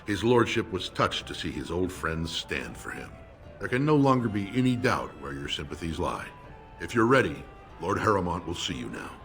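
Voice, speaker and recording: Loyal noble's retainer, deep voice, polite formal delivery, fantasy game, light music behind. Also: male voice, very animated, moderate pace, creaky, fairly bright tone, exaggerated voice. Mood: pleased, courteous. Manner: formal, deferential. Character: courteous, loyal aide to a lord.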